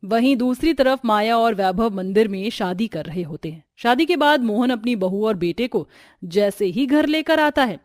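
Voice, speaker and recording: A woman's voice: mid-range voice, a little mellow, no echo, studio quality. A woman narrating a scripted cartoon story as a storyteller.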